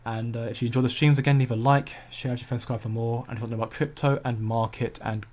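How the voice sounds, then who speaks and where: A man's voice: medium pitch, fairly even intonation, very fast, darkish tone. A man, hosting a casual crypto livestream and answering viewer comments in a chatty, self-correcting way. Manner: chatty, self-correcting, laughs easily at chat.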